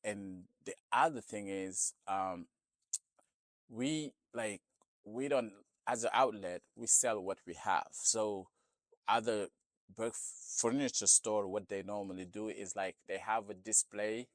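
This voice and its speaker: A man's voice: fairly low pitch, takes it slowly, no echo. A man from Senegal with a Senegalese accent, a non-native English speaker, answering casually in an interview and often adding "you know". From Senegal, West Africa. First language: not English. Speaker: store owner being interviewed.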